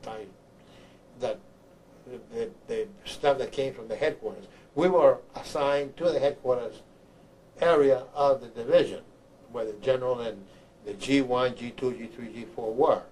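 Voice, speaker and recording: Halting older man, noisy recording. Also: medium pitch, a little mellow. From Honduras. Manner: halting, repetitive, searching for words. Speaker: army veteran. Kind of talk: oral history recollection. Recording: clear background noise, room ambience.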